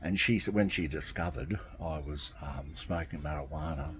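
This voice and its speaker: A man's voice: a bass voice, moderate pace, noisy background. A man, talking casually to camera from outdoors by a garden, rambling and repeating himself. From Australia. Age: 60s. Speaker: vlogger.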